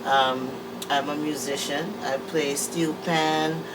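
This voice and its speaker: A woman's voice: sits low, steady tone, takes it slowly. A woman, speaking as an interview guest in easygoing, hesitant casual talk with many fillers, in a music production room. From Trinidad and Tobago. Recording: distant mic with air-conditioning hum.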